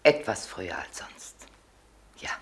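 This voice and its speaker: An actress playing a guarded, intimidated housekeeper in a German crime radio drama, terse and reluctant, with a breathy voice. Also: female voice, a little slow, a bit bright, slight background noise, close to an everyday voice. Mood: guarded, subdued. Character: guarded, intimidated housekeeper giving clipped answers.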